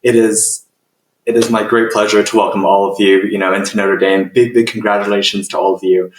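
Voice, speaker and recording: A man's voice: fairly low pitch, little pitch movement, fairly quick, a little hiss or hum. A young man from Malaysia and Singapore, a senior student guest, giving a friendly self-introduction with casual fillers. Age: young adult. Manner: friendly, uses "you know" fillers. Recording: echoey room, distant mic.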